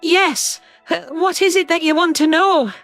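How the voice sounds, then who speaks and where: Terrified, very high-pitched alien woman. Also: fairly even intonation, a little slow, fairly bright tone, faint music behind, full character voice. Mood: nervous stammering. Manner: stammering, hesitant. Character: terrified alien civilian woman, pleading and timid. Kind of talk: audio drama character acting.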